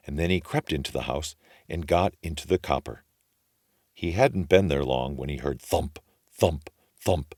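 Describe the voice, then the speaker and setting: A man reading a children's story aloud as an expressive storyteller. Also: low-pitched, dry recording, clean studio sound.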